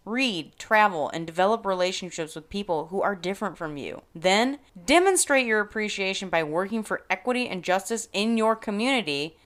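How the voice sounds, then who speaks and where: Female podcast host, scripted opinion monologue, very animated intonation, emphatic with playful asides. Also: medium pitch.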